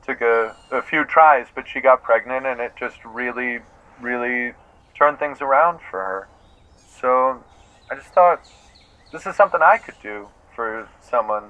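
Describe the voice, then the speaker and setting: An actor playing an eager, earnest out-of-work actor in a comedic audio drama, excitable and halting, taking himself very seriously. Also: male voice, mid-range voice, phone-quality sound, natural voice. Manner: excitable, halting, self-serious about acting.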